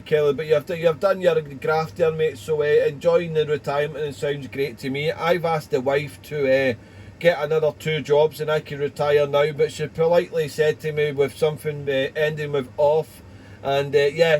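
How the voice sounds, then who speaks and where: A man's voice: fairly high pitch, little pitch movement, normal speed, darkish tone, slight background noise. A Welsh man in his forties chatting casually over ham radio, rambling and repeating words. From Wales. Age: 40s.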